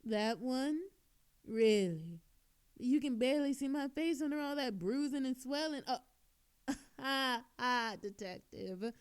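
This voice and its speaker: A woman's voice: sits high, lively intonation, measured pace, smooth. A woman playing an obnoxiously flirtatious, sarcastic female thief in a scripted audio roleplay, teasing coyly and theatrically. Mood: teasing, flirty.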